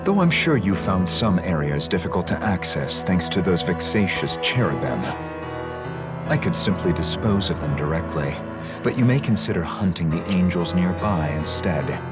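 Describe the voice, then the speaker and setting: A man playing a grim, coldly pragmatic hunter in a video game, speaking in a measured, formal, stern way. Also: sits low, lively intonation. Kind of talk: dramatic video game voice acting. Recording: loud music under the voice.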